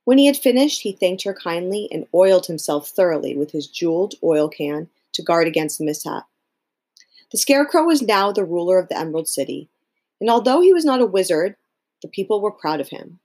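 Casting: female storybook narrator, rather low voice, animated intonation, children's chapter-book reading. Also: fairly quick. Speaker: storybook reader.

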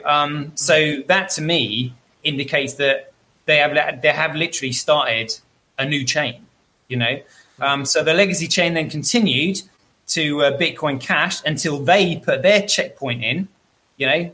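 A man's voice: fairly high pitch, bright, forceful tone. A man appearing as a guest on a talk show, earnestly explaining with frequent fillers. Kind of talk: casual talk show discussion.

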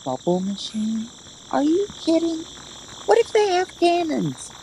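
A woman playing a wary, skeptical female sidekick with an expressive, questioning delivery in an audio play. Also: sits high, a little slow, heavily acted, low-quality recording. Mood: incredulous. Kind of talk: amateur audio play acting. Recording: steady noise behind the voice.

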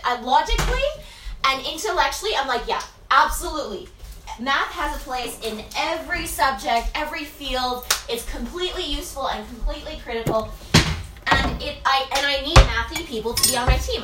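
A woman with a high, bright voice talks in a loose, rambling way, tagging her thoughts with "right?" and filling pauses with "like" and "um." She sounds like a business owner thinking out loud. The room around her is echoey, with faint background noise. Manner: rambling, many fillers and "right?" tags. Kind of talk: casual reflective monologue.

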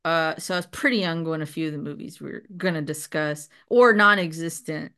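A woman in her thirties hosting a podcast, talking in a relaxed, casual way with lots of ums. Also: low voice, fairly quick, smooth. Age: 30s. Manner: relaxed, hesitant, many ums. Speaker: podcast host. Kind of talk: casual chat.

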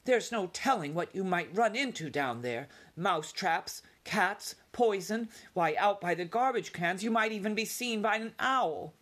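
A man's voice: high voice, expressive, unhurried, hardly any pauses, a bit bright, voice pushed up, heavily acted. A man voicing an anxious, fussing mother mouse with a worried, pleading manner.

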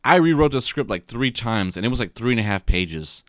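A man's voice: mid-range voice, expressive, brisk, fairly bright tone, no echo, clean studio sound. A man co-hosting a podcast in casual chat, sleepy after a short night, rambling with many restarts and repeated words. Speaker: podcast co-host. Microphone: podcast mic.

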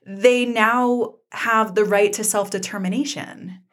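A woman's voice: mid-range voice, steady tone, a little slow, fairly bright tone. A woman with a Southern American accent co-hosting a conversational recap show, talking in an earnest, emphatic way. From American South (Georgia). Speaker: podcast co-host.

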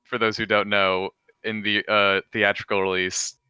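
A man's voice: fairly low pitch, flat delivery, moderate pace, pushed and bright, clean tone, dry recording. A man co-hosting a casual panel chat about films with friends. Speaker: podcast co-host.

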